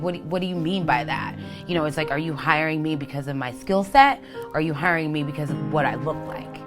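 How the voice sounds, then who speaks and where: A woman telling her own story as an employee testimonial, candid and conversational. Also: low-pitched. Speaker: construction company employee giving a testimonial. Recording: music bed under the voice. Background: music.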